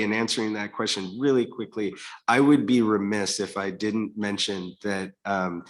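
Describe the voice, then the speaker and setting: Slow-talking man with a low voice. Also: a bit bright. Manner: measured, many fillers and restarts. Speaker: congressional committee staffer on a panel. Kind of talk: panel answer, explaining policy.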